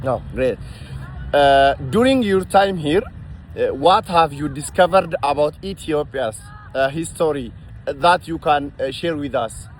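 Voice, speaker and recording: A man's voice: a little high, expressive, a little hiss or hum. Formal male interview host outdoors. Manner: formal scripted welcome, halting questions with fillers. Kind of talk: formal introduction, then interview questions.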